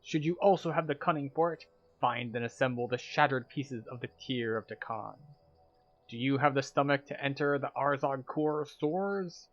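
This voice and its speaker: A man's voice: mid-range voice, moderate pace, muffled recording, lightly acted. A man voicing an arrogant, contemptuous warrior quest giver in a fantasy game, pompous and theatrical. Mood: impressed, commanding.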